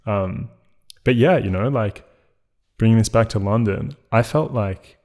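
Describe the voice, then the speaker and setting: A man hosting a podcast, talking in a relaxed casual way, full of filler words and trailing asides. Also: lowish voice, measured pace. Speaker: podcast host. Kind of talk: casual conversation.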